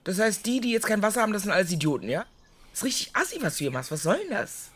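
An actress playing an outspoken younger city woman in a radio play, direct, colloquial and expressive. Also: mid-range voice, fast talker, breathy edge. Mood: indignant. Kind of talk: naturalistic German radio play acting.

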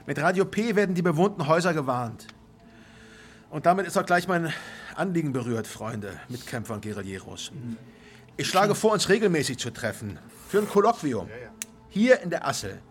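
Grandiose rebel squat leader, highish voice, big swings in pitch, speechifying, radio play. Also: male voice, big pitch swings, moderate pace, faint music behind. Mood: solemn, rallying. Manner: declamatory, pompous, repeats himself. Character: charismatic male squatter captain, solemn, grandiose chairman of a meeting. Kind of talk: German radio play acting.

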